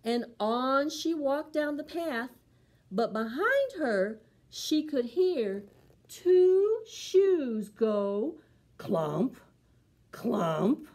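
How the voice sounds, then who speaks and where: A middle-aged woman reading a picture book aloud for preschool storytime, with deliberate, dramatic emphasis on sound words. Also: high-pitched, sing-song, darkish tone. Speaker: library storytime reader.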